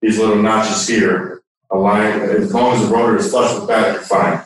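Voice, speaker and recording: Low, halting man in a very echoey room. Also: flat delivery. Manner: halting, searching for words. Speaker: product expert guest demonstrating. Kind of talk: informal technical explanation. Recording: echoey room, distant from mic.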